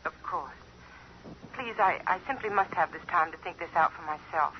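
A woman's voice: lowish voice, normal speed, natural voice. An actress playing a poised, proud young career woman in a 1950s radio drama, speaking in an earnest, refined, emphatic way. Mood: subdued. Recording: muffled, noisy vintage radio broadcast.

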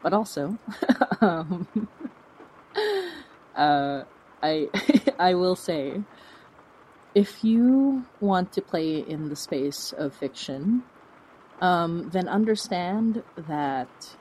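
A woman's voice: on the low side, expressive, extremely slow, long pauses, mellow, slight background noise, poor audio. A woman speaking as a podcast guest, answering in an earnest, reflective, conversational way. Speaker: guest, fiction podcast creator.

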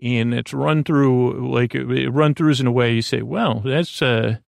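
A man hosting a sleep podcast, talking in a slow, meandering bedtime monologue full of fillers and restarts. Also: medium pitch, dry recording, studio quality. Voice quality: creaky, halting, many fillers and restarts.

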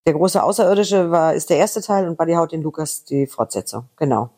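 A woman's voice: low-pitched, animated, brisk, mellow, husky. A middle-aged woman from Berlin with a slight Berlin accent, a voice actress telling anecdotes in a chatty, rambling interview. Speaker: voice actress guest. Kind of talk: casual interview chat.

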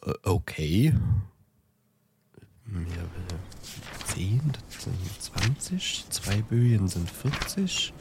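A man's voice: low voice, expressive, very slow, vocal fry, long pauses, noisy background, heavily acted. A man playing an obliging, flustered post office clerk who stammers hesitantly in bemusement in an amateur audio drama.

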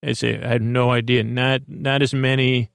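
Creaky-voiced man rambling on a bedtime podcast. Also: mid-range voice, level tone, dry recording, clean studio sound. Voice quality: creaky, lulling, soothing. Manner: meandering, halting, digressive, self-interrupting. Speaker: podcast host.

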